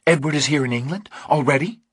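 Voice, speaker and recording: A man's voice: on the high side, fast talker, breathy edge, no echo, clean studio sound, pitched above the performer's own voice. A man voicing an anxious, wary woman in a thriller audiobook, urgent and questioning.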